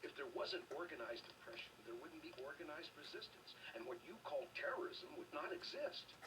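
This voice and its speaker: An actor playing an earnest, argumentative anti-government rebel in a video game, speaking with preachy insistence. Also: male voice, a little high, animated, fairly quick, recorded from a distance, noisy background, lightly acted. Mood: defiant.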